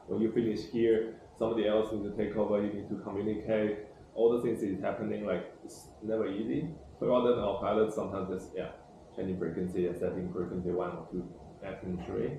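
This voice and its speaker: A man's voice: lowish voice, flat delivery, a little fast, gravelly, warm tone. A man, a pilot casually reflecting in simple phrases. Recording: very echoey, noisy room.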